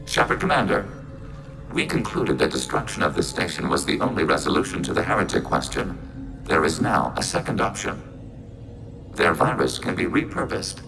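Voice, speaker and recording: A man's voice: low-pitched, big pitch swings, normal speed, gravelly, over music, heavily acted, poor audio. A man playing a synthetic machine intelligence in a video game, speaking in literal, formal, emotionless phrases through a robotic filter. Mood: detached, logical.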